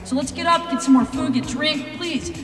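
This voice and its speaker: Loud woman in her fifties addressing a hall. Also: sits high, moderate pace. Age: 50s. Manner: loud, urging, energetic. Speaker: event organizer. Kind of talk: addressing a crowd at an event. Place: large hall at a live event. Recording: echoey hall voice from far off, music behind.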